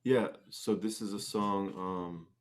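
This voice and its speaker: Low-pitched man in casual conversation. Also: steady tone, slow. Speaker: guest, singer-songwriter and musician. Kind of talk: casual interview chat.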